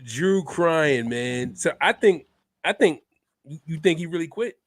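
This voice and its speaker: Man, stuffed-up cold voice, relaxed host, casual chat, dry close recording. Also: fairly high pitch, slow pace, no room sound. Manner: laid-back, says "man" a lot. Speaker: podcast host.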